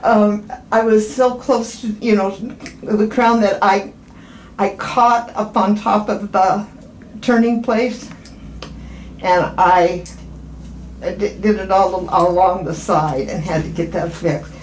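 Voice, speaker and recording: A woman's voice: medium pitch, fairly even intonation, slow, darkish tone. A woman in her eighties chatting casually as a patient, rambling and often restarting her phrases. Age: 80s. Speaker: podiatry patient. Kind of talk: casual chat during an appointment. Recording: echoey room with faint background noise.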